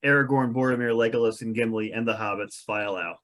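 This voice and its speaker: A man's voice: on the low side, steady tone, fast talker, a bit bright. A man narrating stage directions from a fantasy script at a table read.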